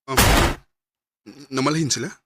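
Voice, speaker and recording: A Filipino man with a Cebuano accent acting a shy, earnest, lovelorn character in a Bisaya radio drama, speaking haltingly. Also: mid-range voice, fairly bright tone, natural voice. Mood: longing.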